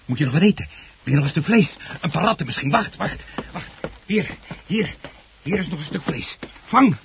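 An actor playing an obsessive, unhinged plant grower in a radio drama, muttering and repeating himself between hushed passages and outbursts. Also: male voice, fairly high pitch, lively intonation, normal speed, gravelly, background noise. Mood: obsessed, coaxing. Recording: dull, muffled old radio play recording.

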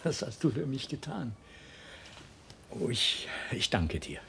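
An actor in a German radio drama playing a choleric, bitter middle-aged husband and company boss, speaking haltingly and with volatile feeling. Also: male voice, medium pitch, sing-song, creaky, fairly bright tone, husky, heavily acted. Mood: moved, grateful. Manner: stammering, emotionally volatile.

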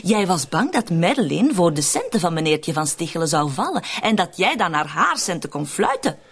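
Husky, sardonic schemer. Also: female voice, lowish voice, sing-song, moderate pace, exaggerated voice. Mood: accusing. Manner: sardonic, coolly in control. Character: scheming, mocking woman fond of morbid jokes. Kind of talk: radio drama acting.

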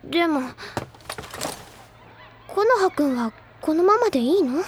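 An actress playing an excitable, fanciful, stubborn high school girl in an audio drama, with an earnest, theatrical, girlish delivery. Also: measured pace, slight background noise. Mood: determined. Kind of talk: audio drama dialogue, anime-style acting.

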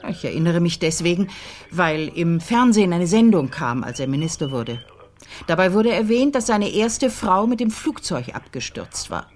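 An actress playing a composed, dryly teasing older widow in a radio crime drama, speaking without hurry. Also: female voice, on the low side, very animated, normal speed, darkish tone, a little hiss or hum. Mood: wry, recollecting. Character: composed, wry older widow of a private detective.

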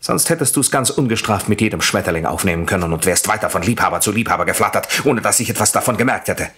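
Sardonic, husky jealous husband, low-voiced. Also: male voice, animated, a bit bright. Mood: cold, accusing. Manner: sardonic, mockingly polite, deliberate. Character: jealous cuckolded husband, coldly sardonic confessed killer. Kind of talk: radio play acting.

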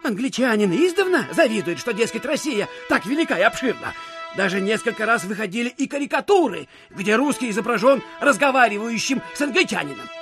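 A man playing an excitable, suspicious, gossiping official in an audio drama. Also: high-pitched, a little fast, music in the background. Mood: conspiratorial, excited. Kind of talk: theatrical audiobook radio play.

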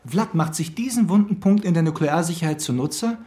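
A man's voice: fairly high pitch, expressive, a little fast, warm tone, lightly acted. A man playing a keen, insistent investigator in a crime radio play. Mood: explaining. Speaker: actor in a crime radio drama. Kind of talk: German radio play dialogue.

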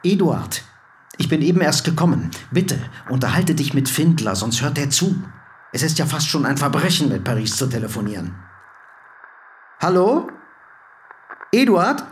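A man's voice: fairly high pitch, very animated, moderate pace, long pauses, slight background noise. An actor playing an anxious, proud middle-aged businessman in a radio drama, speaking in a curt, urgent way. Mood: tense, reproachful.

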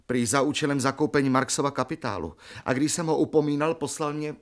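Casting: man as pompous petty official in radio play, aggrieved, flustered, fairly high male pitch. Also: natural voice. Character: indignant, officious male house committee official. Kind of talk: Czech radio drama acting.

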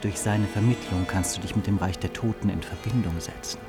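A man's voice: low-pitched, fairly quick, over music. A man playing a scheming, servile yet power-hungry sorcerer priest in a German radio play, speaking in a solemn, ceremonial manner. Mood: insistent, solemn.

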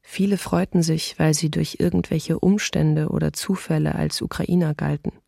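A woman playing a sombre eyewitness of wartime occupation in a documentary radio play, measured and matter-of-fact. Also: deep voice, steady tone, gravelly, mellow, no echo, studio quality, heavily acted. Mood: sombre, restrained.

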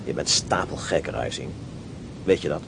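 A man's voice: extremely low pitch, moderate pace, creaky, background noise. An actor playing a nervous, sarcastic male escaped prisoner who protests anxiously, in a radio play.

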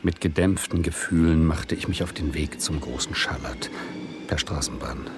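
A low-pitched male narrator, a self-justifying student telling his story in a radio play. He speaks in dry, literary sentences with a wry, ironic edge. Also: normal speed, heavy background noise. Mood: sardonic, measured.

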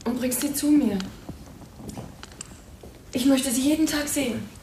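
A confused fragile mom, high pleading voice, off in an echoey space, radio drama. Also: female voice, unhurried, warm tone. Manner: plaintive, disoriented. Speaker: actress in a radio crime drama. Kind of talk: radio play acting. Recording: distant, echoey, faint noise.

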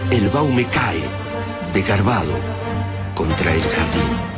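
A man's voice: sits low, expressive, slow pace. A man with an Argentine Rioplatense accent giving dramatic scripted narration for a radio drama. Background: prominent dramatic music.

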